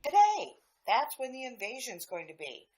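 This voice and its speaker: A woman's voice: a little high, wildly swooping pitch, moderate pace, a little mellow. A woman playing a cheerful housewife chatting with a friend in an amateur radio drama, breezy and gossipy. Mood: chatty.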